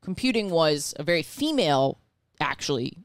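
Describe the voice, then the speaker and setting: Deep-voiced lady comic, swears a lot, podcast chat, dry close mic. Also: moderate pace, no echo. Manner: brash, profane, animated storytelling. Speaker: guest comedian. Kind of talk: casual chat. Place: small living room podcast set. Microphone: handheld mic, headphones.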